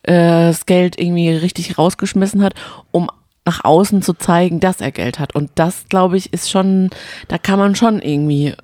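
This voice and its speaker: Woman, lower-pitched, soft relaxed tone, dry close sound, colloquial, opinionated podcast chat. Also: moderate pace, no room sound. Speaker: podcast co-host. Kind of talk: casual chat about reality TV.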